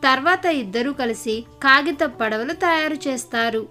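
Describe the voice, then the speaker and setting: Casting: female children's story narrator, high voice, scripted delivery, faint music underneath. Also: normal speed, bright, forceful tone.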